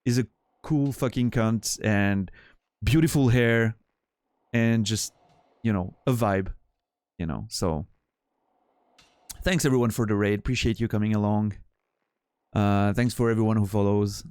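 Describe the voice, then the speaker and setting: A man's voice: lowish voice, a little slow, long pauses, darkish tone, clear, resonant tone, no room sound, clean studio sound. A man from Switzerland with a Swiss French accent, a native French speaker, chatting casually with his stream viewers in a rambling, profane way full of restarts.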